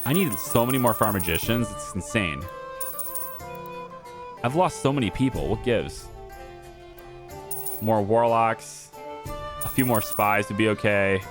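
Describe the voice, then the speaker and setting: A man with a lowish voice, hoarse as though he is losing it, talks his way through a video game in a casual let's-play. He mutters half to himself and half to his viewers, and game music plays steadily underneath. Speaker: gaming YouTuber.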